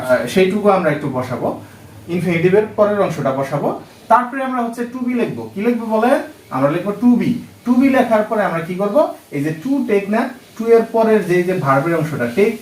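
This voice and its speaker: A man with a Bangladeshi accent giving a step-by-step lesson as a teacher, often asking check questions and repeating key phrases. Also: sits high. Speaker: English grammar teacher. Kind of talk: instructional lesson explaining step by step. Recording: echoey room, distant microphone.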